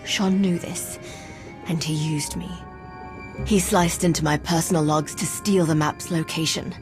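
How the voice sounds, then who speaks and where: A proud, betrayed noblewoman from a space game. Her voice is fairly low and her delivery grave and formal, with loud music underneath. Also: steady tone, measured pace. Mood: bitter. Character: proud, betrayed female alien noble leader. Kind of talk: cinematic video game dialogue.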